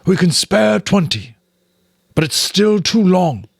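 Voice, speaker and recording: A commanding male rat leader, voiced by a man reading aloud, grave and deliberate. His pitch is lifted and moves around a lot, in dramatic audiobook style. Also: big pitch swings, creaky, breathy edge, dry recording, studio quality, full character voice. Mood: grave, explaining.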